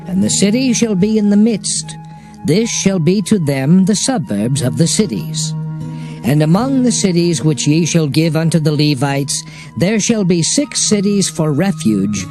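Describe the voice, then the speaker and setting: A man narrating dramatized scripture in a solemn, declamatory manner. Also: on the high side. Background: music.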